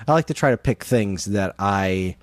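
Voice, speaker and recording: A man's voice: fairly low pitch, animated, normal speed, no echo. A man co-hosting a podcast, chatting casually and telling stories in a conversational way. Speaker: podcast co-host. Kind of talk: casual chat about video games.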